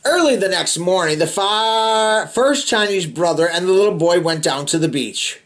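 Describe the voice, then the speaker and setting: A man reads a children's picture book aloud as the host. His pitch swings widely and expressively. He breaks from the narration for playful, casual asides to his young listeners. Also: lively intonation, normal speed, fairly bright tone. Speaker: storybook reader, host of a read-aloud show.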